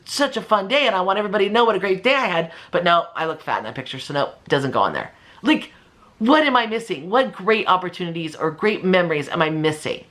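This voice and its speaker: Woman, fifties, very lively intonation, medium pitch, emphatic, candid, conversational vlog delivery. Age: 50s. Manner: animated and emphatic, acts out imagined remarks. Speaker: video creator and channel host. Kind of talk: casual personal motivational vlog talk.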